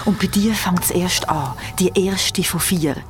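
A woman's voice: on the low side, unhurried, noisy background, natural voice. A woman playing a worried, insistent young woman in an audio drama, speaking with a Swiss German accent. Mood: anxious, urgent. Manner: insistent, questioning. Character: Steffi, worried, insistent young woman pressing her friends. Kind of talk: naturalistic audio drama dialogue.

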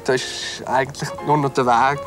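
Male, medium pitch, spontaneous halting commentary with clear piano music underneath. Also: level tone. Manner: halting, repeating himself.

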